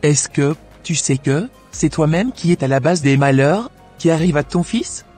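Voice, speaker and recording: A man playing a frank, motherly aunt in an amateur cartoon, husky and measured as he admonishes over music. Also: a little high, animated, a little mellow. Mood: earnest admonishing. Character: motherly aunt, frank moral adviser, female shopkeeper. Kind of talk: amateur animated drama acting. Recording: background music.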